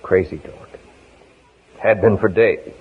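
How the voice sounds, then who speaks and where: An actor playing a surly, defiant young death-row prisoner in curt 1950s radio acting style. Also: male voice, on the low side, takes it slowly. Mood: hostile, bitter. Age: young adult. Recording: noisy, tinny 1950s radio broadcast.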